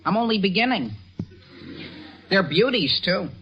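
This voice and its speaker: A man's voice: sits high, very animated, unhurried. A man playing an eager, pleading teenage son with a youthful, cracking voice in a 1940s radio comedy. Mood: protesting, earnest. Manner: earnest, wheedling, indignant when doubted. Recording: dull old radio broadcast recording.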